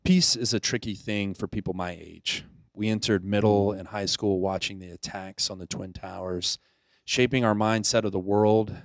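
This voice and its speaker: A man in his thirties from Florida talks in an earnest, plain-spoken way. His voice sits low for a man. The sound is dry and close, with no room echo. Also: fairly even intonation, normal speed. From Pensacola, Florida. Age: 30s. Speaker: youth ministry director. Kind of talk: casual chat, then reading a reflection.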